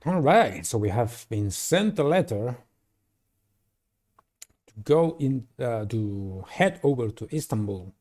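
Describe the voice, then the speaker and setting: A man's voice: mid-range voice, sing-song, slow, pauses often, darkish tone. A man in his thirties or forties hosting a video game playthrough, giving commentary and reading text aloud. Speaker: gaming channel host.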